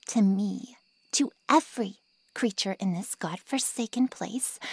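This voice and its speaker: A woman playing a snide, taunting female monster in an ASMR roleplay, with a sarcastic drawl and a breathy, half-whispered voice. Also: mid-range voice, a little mellow, no echo. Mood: indignant, scolding. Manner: sarcastic, insulting, teasing drawl.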